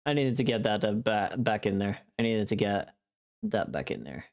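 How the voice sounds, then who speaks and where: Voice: medium pitch, big pitch swings, moderate pace, vocal fry, a little mellow. A livestreamer chatting casually while working, easily distracted and prone to exclamations.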